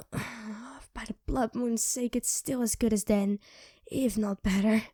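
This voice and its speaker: A woman voicing a sardonic, teasing female vampire who mocks and coaxes in an ASMR roleplay, dropping into soft whispers, close to the mic. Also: a little high, steady tone, slow pace, husky, no echo. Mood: savoring.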